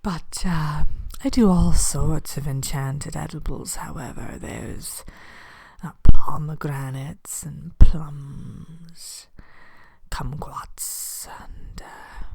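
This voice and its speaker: A sly, courteous evil queen, played by a woman, murmurs to a customer in an ASMR roleplay. Her voice is low and dark, mostly a breathy whisper with a dry creak. She sounds genteel and playfully sinister as she tends her enchanted shop. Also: heavily acted. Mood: playfully proud.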